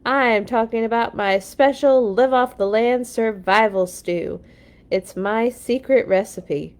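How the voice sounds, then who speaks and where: A woman's voice: fairly high pitch, slow pace. A woman voicing an enthusiastic, confident father bear from a picture book, with a grand, wordy manner.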